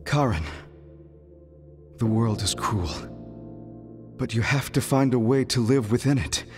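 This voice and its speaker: Game role, male actor: sorrowful, gentle friend, mid-pitched, measured and imploring, with prominent music underneath. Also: lightly acted. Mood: grieving, resolute. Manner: earnest, imploring. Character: gentle, sorrowful man pleading with a tormented friend. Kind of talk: dramatic video game acting.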